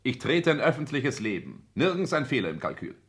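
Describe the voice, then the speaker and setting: An actor playing a cold, ambitious social climber in a 1960s German radio play, speaking in a clipped, pompous, formal and declamatory way. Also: male voice, mid-range voice, very animated, fairly quick, a bit bright. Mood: cold, calculating.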